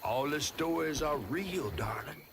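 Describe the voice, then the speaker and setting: An actor voicing a wry, folksy giant catfish storyteller with a Southern US accent in a video game. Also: male voice, on the high side, expressive, unhurried, gravelly, noisy background. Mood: wry, knowing. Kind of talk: video game character dialogue and storybook narration.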